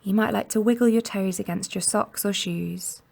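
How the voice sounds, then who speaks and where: A woman guiding a meditation in a gentle, soothing and unhurried manner, leaving long pauses between instructions. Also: mid-range voice, fairly even intonation, creaky, slightly rough. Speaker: mindfulness meditation guide. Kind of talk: guided body scan meditation narration.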